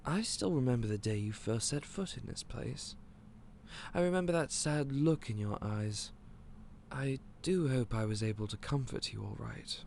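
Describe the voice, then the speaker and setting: An actor playing a gentle, clumsy candy shop owner who stammers and speaks in a polite, old-fashioned way. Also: male voice, medium pitch, expressive, normal speed, gravelly, a little hiss or hum. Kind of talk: audio roleplay acting.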